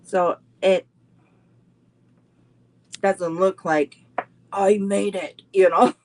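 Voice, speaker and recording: Very slow-talking woman teaching card making. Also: mid-range voice, fairly even intonation, darkish tone, a little hiss or hum, rough recording. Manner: slow, unhurried, greets viewers and chats between steps. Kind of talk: live online craft class.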